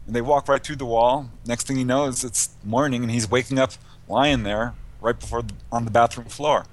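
A man's voice: mid-range voice, steady tone, moderate pace. A man speaking conversationally as an interview guest, recounting anecdotes as a researcher and author, with a light chuckling manner.

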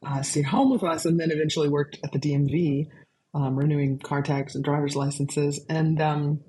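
A woman from Arkansas with a low speaking voice, telling stories as an interview guest, chatty and laughing often. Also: fairly even intonation, moderate pace, mellow. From Arkansas, USA. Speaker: guest composer. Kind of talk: casual conversational storytelling.

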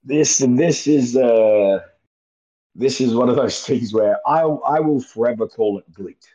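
A young Australian man, a guest wrestler, talking in a relaxed, rambling way and laughing easily, heard over a remote call. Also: medium pitch, unhurried. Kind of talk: casual interview chat. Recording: muffled lo-fi call audio.

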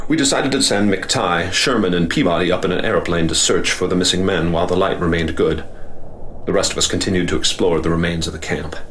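A man as a deep-voiced grave professor narrating. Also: a bit bright, a little hiss or hum. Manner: measured, grave storytelling. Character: male professor leading an expedition, grave and measured. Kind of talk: first-person radio drama narration.

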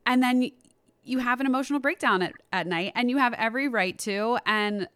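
A woman's voice: on the high side, brisk, a bit bright, clear, resonant tone, no echo, studio quality. A woman hosting a podcast, chatting in an encouraging, casual interview style. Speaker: podcast host.

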